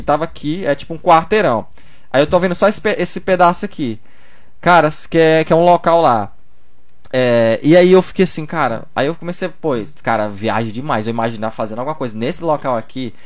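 Fast-talking young man. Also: a little high. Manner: slangy, loose storytelling peppered with "cara". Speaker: podcast guest. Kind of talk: casual chat.

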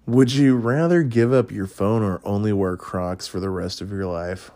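Give me a deep-voiced male podcast host in loose, profane, rambling chat. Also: normal speed, warm tone, no echo. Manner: rambling and profane, with frequent laughs and fillers. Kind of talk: casual chat answering would-you-rather questions.

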